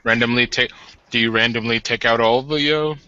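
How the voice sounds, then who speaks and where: A man playing a nervous, newly married man in a tabletop game, speaking haltingly with wry formality. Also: mid-range voice, steady tone, sharp tone, muffled recording, slight background noise, lightly acted. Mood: curious, probing.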